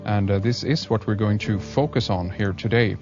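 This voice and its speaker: A man with a fairly low voice hosts a radio show, with music clearly playing behind him. He talks slowly and hesitantly, filling pauses with uhs as he introduces his guest. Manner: slow, hesitant, many uhs. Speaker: radio host.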